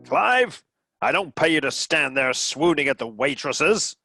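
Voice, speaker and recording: A man's voice: a little high, lively intonation, a little slow, sharp tone, faint music behind, exaggerated voice. A man playing a rude, impatient, dramatic wealthy diner in a comic radio play, bossy and sardonic.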